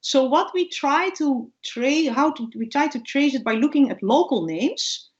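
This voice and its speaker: A woman, a guest researcher telling stories in an informal interview with animated, acted-out examples. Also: high-pitched, unhurried. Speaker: guest ethnobotanist. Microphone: video call. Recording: dull, lo-fi video call.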